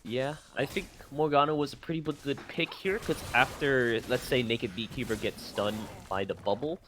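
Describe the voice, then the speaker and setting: A man giving analytic commentary on an esports match, rambling with filler pauses; Vietnamese was his first language. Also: medium pitch, noisy background. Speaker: esports color caster.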